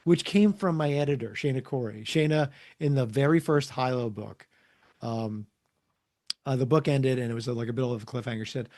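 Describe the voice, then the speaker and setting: A middle-aged man telling stories casually as an author guest in an interview, animated and prone to acting out dialogue. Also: mid-range voice, a little fast. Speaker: guest, comics and children's book author.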